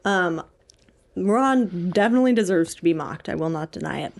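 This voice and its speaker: A woman's voice: lowish voice, expressive, moderate pace, creaky, slight background noise. A young woman co-hosting a podcast, chatting about books in a casual, slangy, jokey way. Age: young adult. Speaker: podcast co-host. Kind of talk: casual podcast book chat.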